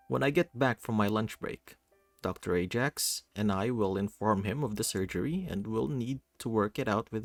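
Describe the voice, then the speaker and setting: A man voicing a calm, welcoming male doctor in a visual novel reading. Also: on the low side, dry recording. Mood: calm, professional. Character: friendly, composed male hospital doctor.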